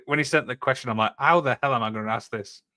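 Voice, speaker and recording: A man hosting the interview with quick, bright delivery. He comes across as an eager fan and uses "obviously" constantly. His questions are simple and friendly. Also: medium pitch. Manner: enthusiastic fan, leans on "obviously". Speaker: podcast host, interviewer. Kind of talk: casual podcast interview.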